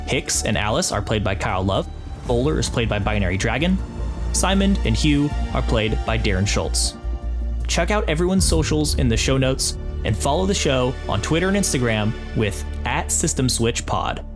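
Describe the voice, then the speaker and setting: Male game master, lively swinging intonation, casual storytelling over clearly audible music. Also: medium pitch, normal speed. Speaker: game master and narrator of an actual play podcast. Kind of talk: casual tabletop game narration.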